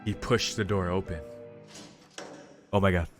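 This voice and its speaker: A man's voice: sits low, fairly even intonation, unhurried. A man playing through a visual novel, reading its text aloud dramatically and reacting in casual, slangy speech. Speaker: video game streamer doing a playthrough. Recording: music behind the voice. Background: game music.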